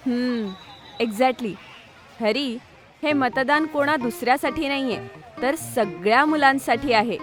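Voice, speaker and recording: A woman's voice: high voice, unhurried, soft background music. A woman playing a kindly, patient female schoolteacher in a children's cartoon. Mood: kind, persuasive. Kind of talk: Marathi children's cartoon dialogue.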